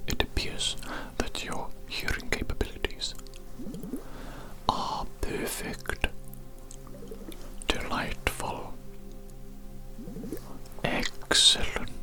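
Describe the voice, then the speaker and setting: An ASMR performer whispering a medical roleplay as an oddly formal, effusive alien doctor posing as human, with deliberate phrasing and repeated words.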